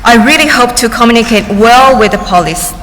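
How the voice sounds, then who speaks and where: Woman interpreter, higher voice, halting with restarts, reverberant council chamber. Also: lively intonation, fairly bright tone, slight background noise. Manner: halting, with restarts and cut-off words. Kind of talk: consecutive interpretation of testimony. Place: large public hearing chamber. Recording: echoey hearing room with faint ambience.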